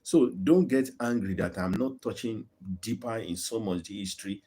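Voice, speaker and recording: A Nigerian man with a Yoruba accent talks straight to his channel's viewers. His voice sits low and a bit husky, and he argues earnestly, circling back and repeating words as he builds each point. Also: moderate pace, dark tone. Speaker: YouTube channel host. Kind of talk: live-streamed opinion talk to viewers.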